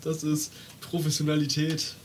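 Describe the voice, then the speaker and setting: A man's voice: a little high, completely flat delivery, moderate pace, slight background noise. A man hosting a live stream in casual, jokey chat.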